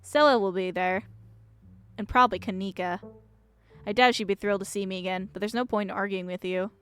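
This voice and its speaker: A woman's voice: medium pitch, steady tone, a little fast, fairly bright tone, clear, resonant tone, lightly acted. Woman voicing a blunt, prickly young small-town woman. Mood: dry, resigned. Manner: blunt, dismissive, sardonic.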